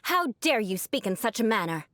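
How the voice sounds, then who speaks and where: A woman's voice: fairly high pitch, sing-song, moderate pace, fairly bright tone, dry recording. A woman voicing a proud young noblewoman knight in a video game, with a formal, haughty manner. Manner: haughty, formal archaic phrasing.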